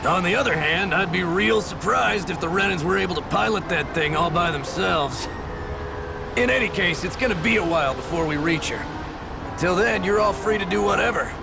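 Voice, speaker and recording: Casting: hearty sea dog for a game, high gravelly voice, salty folksy slang, noise behind. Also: male voice, lively intonation, normal speed, over loud music. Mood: easygoing, confident. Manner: folksy, colloquial nautical slang. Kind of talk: video game voice acting. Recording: noisy, ambient background sound.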